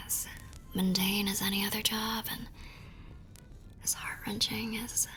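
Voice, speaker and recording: Whispering, tender young war nurse played by a woman. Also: mid-range voice. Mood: wry, weary. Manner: soft, breathy, affectionate murmuring. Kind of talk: ASMR romance roleplay, whispered and intimate.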